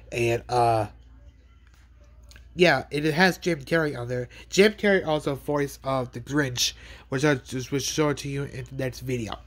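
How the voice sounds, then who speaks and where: Guy with a cold showing off dvds in his bedroom, phone mic, keeps stumbling and repeating himself. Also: mid-range voice. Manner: halting, repeats words and restarts often. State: has a cold. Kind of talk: casual unboxing vlog. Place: bedroom, indoors. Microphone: handheld phone pointed at bed.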